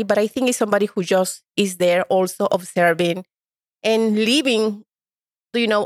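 A woman's voice: medium pitch, dry recording, studio quality. A woman from El Salvador with a Salvadoran Spanish accent, answering conversationally as a podcast guest. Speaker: podcast guest, community organizer.

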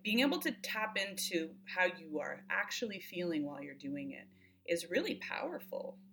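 A woman's voice: fairly low pitch, big pitch swings, normal speed, gravelly, a bit bright. A woman co-hosting a reflective conversation, talking thoughtfully and searching for words as she repeats and reworks her phrases. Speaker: co-host. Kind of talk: reflective conversational talk on self-care.

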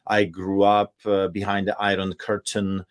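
Guest: middle-aged Polish man, strong Polish accent, lowish voice, emphatic and insistent, heard over a video call. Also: completely flat delivery, a little slow, clear, resonant tone. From Poland. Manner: forceful, emphatic, with frequent uh fillers and restarts. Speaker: pastor, guest. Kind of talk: impassioned interview talk.

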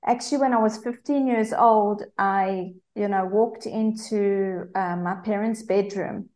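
A woman's voice: medium pitch, fairly even intonation, measured pace, a little mellow. A woman in her forties with a South African accent, a guest coach telling her personal story candidly with frequent fillers.

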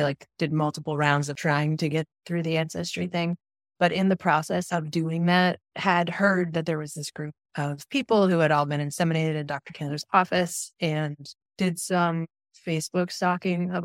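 Woman, 40, from michigan, low voice, says "like" a lot, dry close mic. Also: dry recording. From Michigan, USA. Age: 40s. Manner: chatty, heavy use of "like" as filler. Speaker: guest, donor-conceived sibling. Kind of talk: casual conversational interview.

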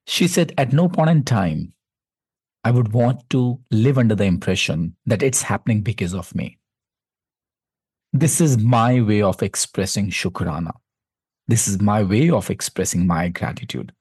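A man with an Indian accent hosts in a low, husky voice, recorded close and dry. He talks reflectively, setting out his thoughts in deliberate, measured phrases. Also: darkish tone, dry recording. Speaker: podcast host. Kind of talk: reflective conversation.

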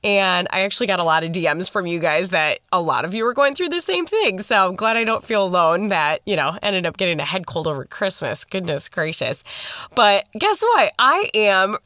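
Upbeat chatty woman podcaster, bit hoarse from a cold, dead dry closet sound. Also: mid-range voice, big pitch swings, normal speed, bright, forceful tone, no room sound. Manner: chatty and upbeat, many fillers. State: recovering from a head cold, slightly hoarse. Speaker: podcast host. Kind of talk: casual chat. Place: small closet at home.

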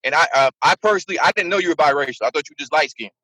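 A man's voice: on the high side, rapid-fire, sharp tone, breathy edge, no echo, poor audio. A man on a podcast, talking fervently and opinionated in casual chat.

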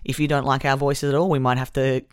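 A man's voice: mid-range voice, little pitch movement, quick pace, clean tone, no room sound. Chatty man, dry close mic. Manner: chatty, self-deprecating, trails off mid-sentence. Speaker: podcast co-host. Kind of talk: casual chat.

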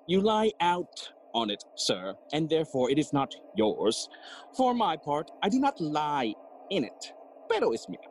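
An actor playing a jolly, cheeky gravedigger who riddles and teases in a theatrical bilingual Spanish-English Shakespeare audio play. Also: male voice, fairly high pitch, very animated, measured pace, poor audio. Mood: sly, teasing. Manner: teasing, sighing and chuckling, theatrical.

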